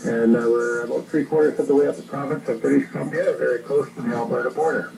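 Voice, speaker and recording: A man's voice: mid-range voice, steady tone, fast talker, gravelly, music in the background. A Canadian man chatting casually as an amateur radio operator, in a rambling, conversational way, heard over a radio transmission. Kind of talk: casual ham radio contact. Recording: noisy radio transmission captured by phone.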